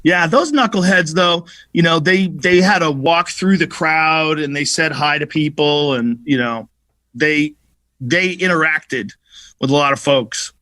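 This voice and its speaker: Bright, animated profane comedian guest. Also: male voice, fairly high pitch, unhurried, dry recording. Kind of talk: casual podcast chat.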